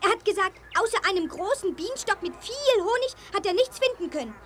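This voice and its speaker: A woman playing an agitated young female seagull with a shrill, squeaky voice in a children's audio play. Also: expressive, a little fast, fairly bright tone, a little hiss or hum. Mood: worried, urgent. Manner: agitated, urgent. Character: excited young female seagull, a worried friend.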